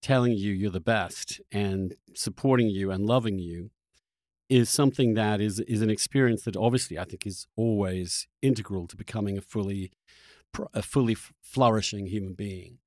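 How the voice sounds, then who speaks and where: A man's voice: fairly low pitch, moderate pace, darkish tone, dry recording, studio quality. A man hosting a reflective long-form interview, who feels his way through his thoughts and often restarts phrases mid-sentence. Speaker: podcast host interviewing a guest.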